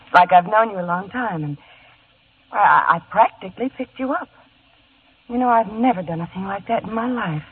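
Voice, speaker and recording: A woman's voice: medium pitch, animated, brisk, a little hiss or hum, natural voice. A young actress playing a shy, flustered woman who stammers and hesitates, in old-time radio acting. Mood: unsure. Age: young adult. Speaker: dinner guest. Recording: tinny old radio broadcast.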